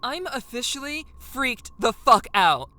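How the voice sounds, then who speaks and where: An actress plays an anxious yet sensible young woman in a horror audio story. Her voice is fairly high and bright, with a wry touch. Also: big pitch swings, unhurried, dry recording, heavily acted. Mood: freaked out. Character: Samantha, uneasy but sensible young woman. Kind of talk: audio horror drama acting.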